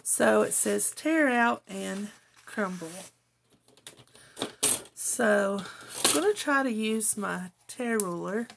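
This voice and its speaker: A middle-aged woman hosts a craft vlog in a slow, creaky voice that sounds a little hoarse. She rambles along in a homely, casual way, as if chatting with her viewers. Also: mid-range voice, lively intonation, background noise. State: slightly hoarse. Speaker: host of a craft channel. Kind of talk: casual chat to viewers while journaling, partly reading own notes.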